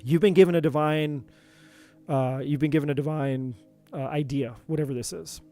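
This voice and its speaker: A man doing a live tarot reading, talking in an earnest, encouraging way with many repeats, his voice going a bit hoarse. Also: on the high side, steady tone, normal speed, mellow, faint music behind. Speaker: tarot reader.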